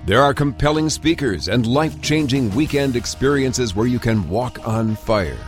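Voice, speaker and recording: A man reading a scripted radio advertisement in a smooth, measured, persuasive tone. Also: mid-range voice. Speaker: ad announcer.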